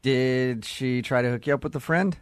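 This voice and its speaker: A man's voice: medium pitch, normal speed, clean tone, no echo, studio quality. A man hosting a radio morning show in casual banter, with a teasing, jokey manner.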